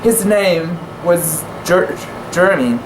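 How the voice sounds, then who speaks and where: A woman giving an amateur dramatic reading of a classic sea story, careful and deliberate, with the occasional stumble. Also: lowish voice. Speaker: amateur reader. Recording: echoey room with steady background hiss.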